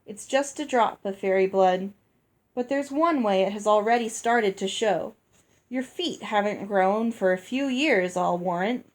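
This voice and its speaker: A woman reading aloud and voicing a gruff older fairy woman who talks bluntly and scolds. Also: mid-range voice, measured pace, natural voice. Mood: brusque. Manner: brusque, scolding. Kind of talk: read-aloud storybook dialogue.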